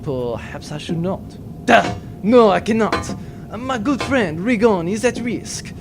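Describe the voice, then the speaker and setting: Man doing an earnest Frenchman, creaky, hesitant. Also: high voice, lively intonation, unhurried, noisy background. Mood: anxious, resolute. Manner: formal, hesitant, with odd word choices. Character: earnest, self-doubting, polite French spaceship crewman. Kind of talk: comic sci-fi audio drama acting.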